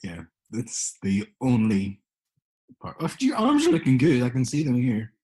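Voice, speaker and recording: Brief: british podcast co-host, jokey, very lively pitch, a bit breathy. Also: male voice, unhurried. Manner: joking, laughs often. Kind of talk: casual chat.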